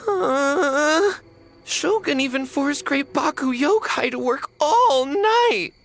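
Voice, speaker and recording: A woman voicing a timid, childlike little tanuki creature in an animated game, stuttering, hesitant and easily panicked. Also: high voice, slow, faint music behind, heavily acted. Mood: nervous. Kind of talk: animated game cutscene acting.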